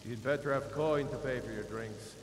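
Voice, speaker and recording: A male actor plays a shady, brusque tavern keeper in a fantasy game. He is curt and dismissive, at a middling pitch. The sound has long reverb and noise. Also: normal speed, natural voice. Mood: cagey, dismissive. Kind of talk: video game voice acting.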